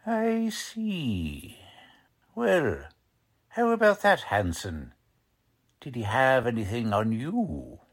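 An actor playing a gruff, bossy, uneducated police lieutenant with blustery, ungrammatical speech in a 1940s-style radio drama. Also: male voice, medium pitch, wildly swooping pitch, takes it slowly, pauses often, exaggerated voice. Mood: interrogating.

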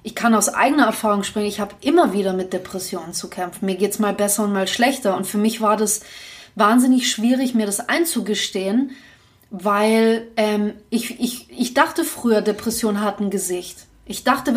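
A woman's voice: mid-range voice, moderate pace. A young woman co-hosting a podcast, speaking candidly in long reflective runs with frequent restarts. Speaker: podcast co-host. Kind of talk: casual podcast conversation.

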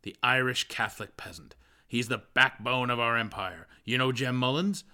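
A man's voice: medium pitch, normal speed, sharp tone. A man voicing a grizzled old sailor, blunt, peeved and proud, in a literary audiobook. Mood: irate, proud. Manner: blunt, irate.

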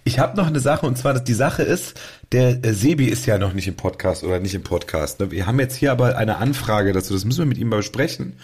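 A man's voice: on the low side, expressive, fast talker, darkish tone, slightly rough. A man hosting a podcast in casual, conversational chat. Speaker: podcast host.

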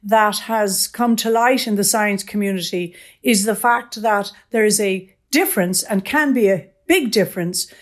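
A woman's voice: medium pitch, measured pace, few pauses. A woman, a professor, explaining aging research in an interview in an articulate, enthusiastic way.

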